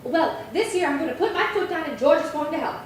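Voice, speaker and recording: A woman playing a scheming, playful housewife in a screwball 1950s radio comedy, with animated theatrical delivery. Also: high-pitched, fast. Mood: determined, wry. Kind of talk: 1950s radio sitcom acting. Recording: echoey hall, distant mic, faint noise.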